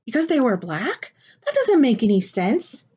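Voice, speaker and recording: A woman's voice: on the high side, big pitch swings, moderate pace, a little mellow, slightly rough, highs cut off, pitched above the performer's own voice. A woman voicing an earnest young boy, worried and questioning, in a picture book read aloud. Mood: puzzled, troubled.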